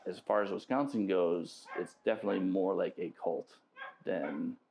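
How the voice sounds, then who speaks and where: A man who served in the military, giving a measured, hesitant interview account with frequent pauses and ums. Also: sits low, gravelly, background noise.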